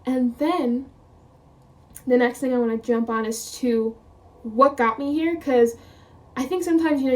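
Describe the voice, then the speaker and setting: A woman's voice: high-pitched, dark tone, distant mic. A woman of twenty talking casually to camera as a vlogger, rambling, quoting her own thoughts and laughing often. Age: 20s.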